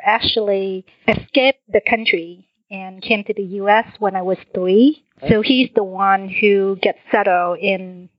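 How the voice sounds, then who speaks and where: A woman's voice: medium pitch, no room sound, highs cut off. A woman in her forties from Vietnam, a finance executive, telling her career story as a podcast guest, friendly and laughing now and then. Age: 40s. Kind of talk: interview answers.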